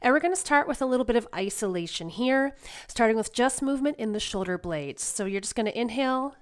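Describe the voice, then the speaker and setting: A woman's voice: a little high, animated, fast, clear, resonant tone. A woman yoga instructor getting over a cold, guiding a class in a casual, reassuring way. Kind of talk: guided exercise class instruction.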